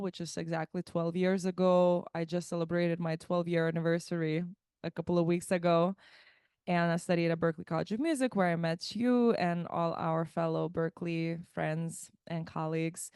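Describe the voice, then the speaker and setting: A woman's voice: on the low side, little pitch movement, normal speed, a little mellow, clear, resonant tone, no echo, studio quality. A woman in her thirties from Lithuania, a musician guest chatting about her life, thoughtful and given to restarting words mid-phrase. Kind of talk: casual interview chat.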